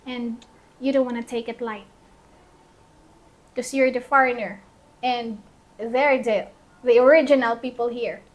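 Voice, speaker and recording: A woman co-hosting a vlog, explaining a numbered list in a deliberate way. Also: fairly high pitch, steady tone. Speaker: vlog co-host. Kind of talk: presenting a numbered list to camera.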